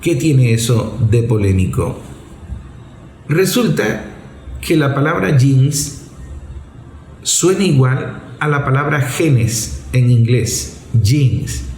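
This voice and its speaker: A man's voice: mid-range voice, darkish tone. A man giving explanatory opinion commentary in a didactic, emphatic way, posing rhetorical questions. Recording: roomy, echoing voice recording.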